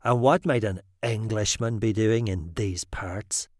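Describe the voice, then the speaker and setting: A man's voice: animated, normal speed, no room sound, studio quality, natural voice. A man voicing a menacing armed man who hisses his threats. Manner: low hissing menace.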